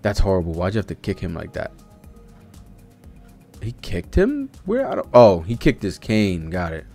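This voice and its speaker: Animated young American man, swinging pitch. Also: normal speed, faint music behind. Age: young adult. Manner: animated, slangy, profane reactions. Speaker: streamer reacting to a manga. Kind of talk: casual live read-along commentary. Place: home studio room with streaming setup. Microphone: desk microphone close by.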